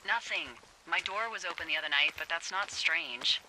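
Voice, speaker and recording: An actress playing a wry, uneasy American fire lookout in a video game, speaking hesitantly and conversationally through a walkie-talkie filter. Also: female voice, fairly low pitch, normal speed, background noise. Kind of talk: naturalistic video game voice acting.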